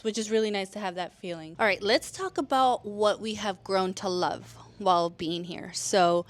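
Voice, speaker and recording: A woman in her thirties, born in El Salvador and raised in California, chatting casually to camera in a vlog, with lots of fillers and restarts. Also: medium pitch. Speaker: vlogger.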